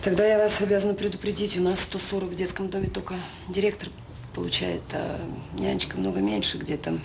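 An actress playing a warm, determined director of a children's home in a radio drama, brisk and plain-spoken with hesitant pauses. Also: female voice, mid-range voice, little pitch movement, vocal fry, natural voice. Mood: friendly, frank. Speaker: orphanage director. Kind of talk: naturalistic radio drama acting. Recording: noisy old radio play recording.

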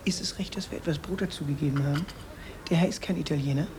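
An actor playing a plump, deferential Venetian hotel porter in a radio drama, courteous and softly spoken. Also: male voice, on the high side, fast talker, slight background noise, lightly acted. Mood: polite, attentive.

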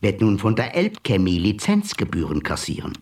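An actor playing a cool, mocking male blackmailer in a radio play, smooth and unhurried. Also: lowish voice, huge pitch swings, a little mellow, recorded from a distance. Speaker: blackmailing hacker visitor. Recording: voice set back from mic, music behind.

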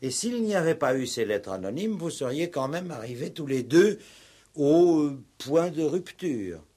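An actor playing a probing, insistent, ironic police commissioner in a 1960s French radio play. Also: male voice, a little high, very animated, a little slow, warm tone, husky, heavily acted. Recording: old 1960s radio recording.

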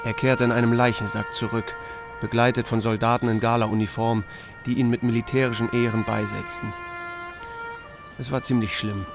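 A man's voice: medium pitch, little pitch movement, moderate pace, music in the background, close to an everyday voice. A man playing a laconic small-town sheriff who tells his own story in an audio drama, with a dry, understated manner. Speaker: narrator and lead actor. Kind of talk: first-person audio drama narration with dialogue.

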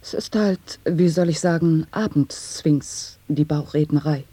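A woman reading experimental sound poetry in a radio play, rolling through the wordplay in a steady rhythm. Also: sits low, unhurried, breathy edge, no echo. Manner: rhythmic tongue-twister delivery.

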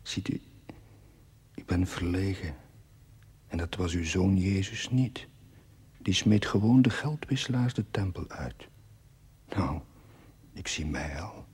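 Timid young village priest, low hushed voice, slow hesitant delivery, humble prayer, TV drama. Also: male voice. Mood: earnest. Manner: hushed, hesitant, half-whispered. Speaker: actor in a television drama series. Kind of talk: intimate naturalistic TV drama monologue in prayer. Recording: long church reverb.

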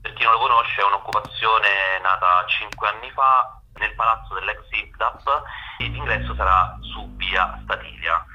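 Low-voiced man, sharp on a dull phone line. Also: moderate pace. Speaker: event curator, phone-in guest. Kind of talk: spontaneous radio interview answers. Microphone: telephone. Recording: dull, band-limited telephone line.

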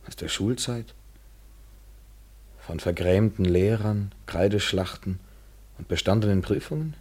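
Low, husky, world-weary male lover. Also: darkish tone. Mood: wry, reflective. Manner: measured, ironic, articulate. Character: world-weary, evasive male lover, cynical yet tender. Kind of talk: literary German radio play acting, 1970s.

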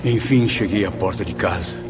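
A man's voice: sits low, normal speed, music in the background, close to an everyday voice. An actor playing the anguished, proud, blind ghost of a male writer, lamenting and pleading theatrically in a radio drama. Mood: bewildered, indignant. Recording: noisy radio drama with ambient sound.

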